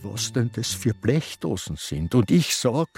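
An actor playing a confused, reminiscing elderly man with an Austrian accent, in a halting radio drama monologue. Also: mid-range voice, big pitch swings, vocal fry, a little mellow, slightly rough, no room sound, soft background music, exaggerated voice. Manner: halting, ruminative.

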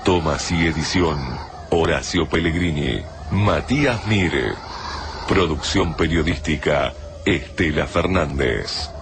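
Very deep, slow man reading credits. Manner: slow, deliberate. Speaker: credits announcer. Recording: noisy radio recording.

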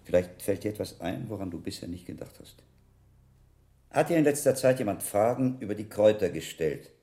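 Calm monk sleuth in a radio drama, really deep mellow voice, cool and methodical, probing. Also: male voice. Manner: measured, probing, coolly reasoning. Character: shrewd, calm monk investigator, methodically questioning. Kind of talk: German radio drama acting.

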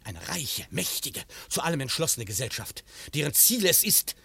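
An actor playing an eager, easily alarmed professor in a radio play. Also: male voice, mid-range voice, sing-song, moderate pace, vocal fry, bright, forceful tone, slightly rough, no echo, full character voice. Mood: grave. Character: excitable professor, secret agent.

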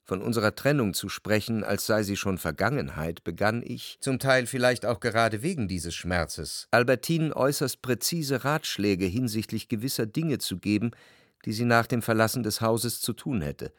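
A man's voice: fairly low pitch, animated, moderate pace, fairly bright tone, dry recording, clean studio sound. An actor playing the introspective narrator of a literary radio drama, a tormented, jealous lover who reflects precisely and expressively on his past. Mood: sad, gentle. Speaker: first-person narrator.

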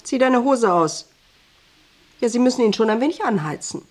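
A woman's voice: on the high side, animated, normal speed, darkish tone. An actress playing a bossy, volatile wife in a radio drama, switching between cooing and scolding in a commanding manner. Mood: lecturing, commanding. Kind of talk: German radio drama acting.

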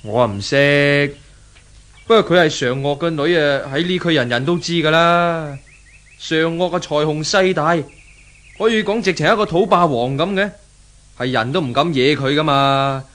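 A man's voice: a little high, normal speed, fairly bright tone, natural voice. A man acting in a 1970s Cantonese radio drama as a sympathetic, cautious passerby, speaking politely with a Hong Kong accent. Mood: cautioning. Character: courteous, cautious male passerby, sympathetic and helpful.

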